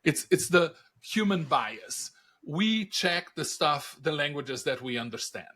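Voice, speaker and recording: A middle-aged man with a Brazilian Portuguese accent, a native Portuguese speaker, answering interview questions in lively stories full of rhetorical questions. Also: fairly high pitch, measured pace. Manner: animated storyteller, rhetorical questions, laughs at own punchlines. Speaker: podcast guest, industry analyst.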